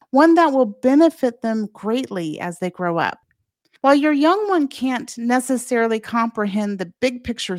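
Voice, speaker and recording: A woman's voice: on the high side, dry recording, studio quality. A woman presenting a scripted solo podcast as its host, speaking directly and encouragingly to parents.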